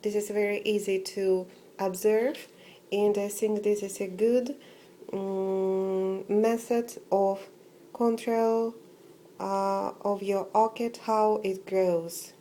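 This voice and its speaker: A woman with a Russian accent, talking through a casual home vlog with many pauses, fillers and restarts. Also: mid-range voice, level tone, warm tone, a little hiss or hum. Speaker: orchid-growing vlogger.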